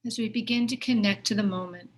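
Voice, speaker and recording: A woman teaches a guided meditation in a medium-pitched voice. She gives her instructions gently and without hurry, leaving pauses between short phrases.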